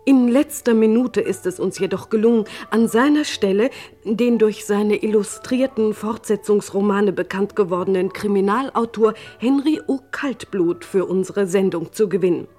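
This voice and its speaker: An actress playing a formal, deadpan female radio announcer in an old German radio comedy, politely apologetic and measured. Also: mid-range voice, faint music behind, natural voice. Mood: formal, straight-faced.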